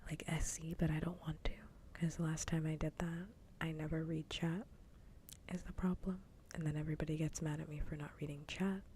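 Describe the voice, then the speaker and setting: A woman streamer chatting casually in a soft, breathy whisper, rambling along in ASMR style on a livestream. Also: deep voice, level tone, brisk, slight background noise. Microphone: close ASMR microphone.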